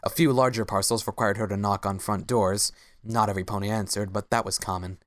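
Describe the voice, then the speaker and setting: A man's voice: on the low side, steady tone, brisk, dry recording, clean studio sound. A man narrating a scripted story as a dramatic reading.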